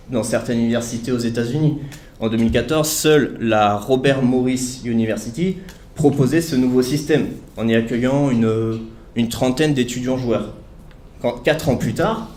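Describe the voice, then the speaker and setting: A man's voice: medium pitch, darkish tone, a little hiss or hum. A young man giving an academic talk at a study day, earnest, with many fillers and self-corrections. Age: young adult. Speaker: student speaker at a study day. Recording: echoey room.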